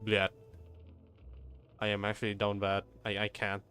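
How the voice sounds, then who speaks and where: A man's voice: fairly low pitch, completely flat delivery, unhurried, bright, forceful tone, clear, resonant tone, soft background music. A man doing casual live gameplay commentary as a streamer, rambling, stammering and swearing freely. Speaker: game streamer.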